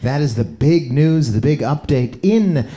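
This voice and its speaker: A man's voice: a little high, animated, unhurried, over a noisy crowd. A man co-hosting a podcast in casual chat, joking, teasing and swearing freely. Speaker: podcast co-host.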